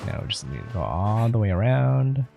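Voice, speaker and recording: Low-voiced man musing over a game. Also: sing-song, brisk, vocal fry. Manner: musing, thinking aloud. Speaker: game streamer. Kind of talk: casual let's play commentary. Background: game music.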